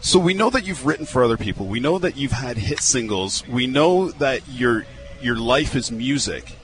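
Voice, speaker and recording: A man's voice: medium pitch, lively intonation, normal speed, fairly bright tone, poor audio. A male radio host chatting upbeat and casual in a live interview at an outdoor fairground. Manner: upbeat, chatty, repeats words.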